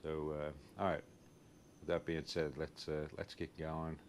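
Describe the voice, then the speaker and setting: A man with a thick Philadelphia accent and a very deep, low-pitched voice talks through a lawn job in an easygoing, plain-spoken way. He is recorded outdoors near his truck, with a faint hiss behind him. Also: completely flat delivery, unhurried. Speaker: home and lawn care show host. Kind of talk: casual chat to camera.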